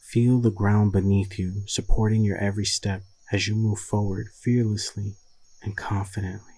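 Husky, low-voiced man guiding meditation. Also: flat delivery, a little mellow, low-quality recording. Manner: soothing, deliberate, with long pauses. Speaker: meditation guide. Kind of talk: guided meditation.

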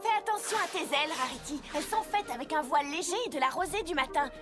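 A woman's voice: sits high, animated, fast talker, over loud music. A woman voicing an earnest, careful young female unicorn pony in a cartoon dub. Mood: cautioning.

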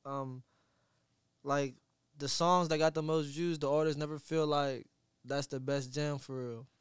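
A man in his thirties hosting a podcast in a small studio, talking in a relaxed, slangy way into a boom mic. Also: unhurried, clear, resonant tone, no room sound. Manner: laid-back slangy talk, relaxed hype. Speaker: podcast host. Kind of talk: casual interview. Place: small studio with foam wall. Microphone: studio mic on boom arm, headphones.

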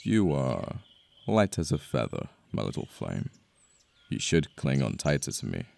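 A man acting a possessive yet protective mafia boss in an ASMR romance roleplay, with a British accent, a deep gravelly voice and an intimate manner full of sighs and pauses. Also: sing-song, a little mellow, full character voice. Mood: concerned, coaxing. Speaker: voice actor. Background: birdsong, outdoor ambience effects.

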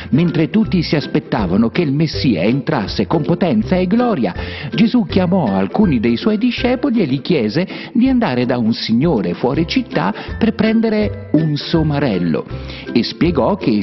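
A man narrating a children's Bible cartoon as an expressive, explanatory storyteller. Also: fairly high pitch. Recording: muffled, reverberant, music behind. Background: background music.

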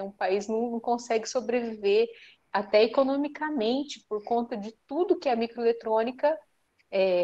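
Bright-pitched woman host, lively. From Paraná, Brazil. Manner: lively storyteller, laughs easily. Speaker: host, society director. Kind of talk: video-call interview. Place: home room with shelves. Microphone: wired earbuds on video call. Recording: muffled video-call audio.

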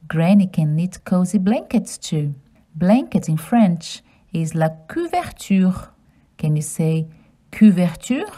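A woman's voice: lowish voice, mellow. A woman reading a children's story aloud and teaching simple vocabulary, speaking in simple, encouraging phrases to young listeners.

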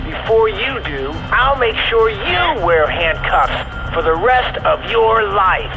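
A man's voice: sits high, very animated, slow pace, dull audio, music in the background, heavily acted. A man playing a threatening, gloating villain boss in a children's adventure serial. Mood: menacing.

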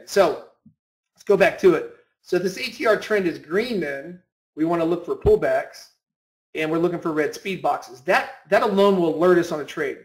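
A man's voice: a little high, rough recording. A man giving an informal instructional talk, explaining emphatically with repetition and rhetorical questions. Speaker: trading instructor. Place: voice-over on a screen recording.

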